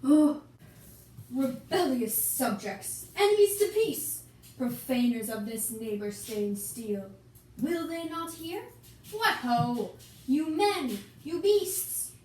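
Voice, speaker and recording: A woman's voice: a little high, very animated, slow, darkish tone. A woman voicing a stern, angry prince, declaiming in an amateur Shakespeare puppet show. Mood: outraged. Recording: echoey room, distant mic, background hiss.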